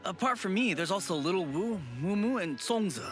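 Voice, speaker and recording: An actor playing a gentle, earnest young man in an anime game. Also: high-pitched, lively intonation, fairly quick, over music. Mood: relieved, earnest. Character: earnest young man, old friend of the ghost. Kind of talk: anime video game voice acting.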